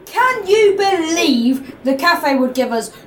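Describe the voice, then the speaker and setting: A woman acts an outspoken 1919 railway striker with a high, swooping voice. The picket is indignant and unhurried, in audio drama dialogue over background ambience. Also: big pitch swings, noisy background, exaggerated voice.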